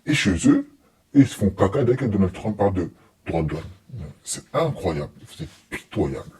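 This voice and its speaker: A man with a low, dark-toned voice comments informally on what he is watching. He is blunt and openly exasperated, dismissing points in colloquial, opinionated speech. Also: big pitch swings, moderate pace. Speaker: commentator reacting to a TV debate.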